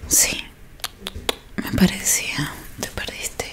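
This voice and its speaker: Whispering woman, slow ASMR roleplay. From Argentina. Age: 20s. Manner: soft, slow, deliberate murmuring to one listener. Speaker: ASMR creator playing a robot repair technician. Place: small dim room indoors with curtains. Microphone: close microphone in front of her.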